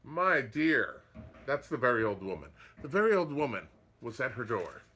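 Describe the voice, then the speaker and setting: A man reading a picture book aloud as a storyteller, dramatic and expressive, with asides to listeners. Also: mid-range voice, brisk, husky.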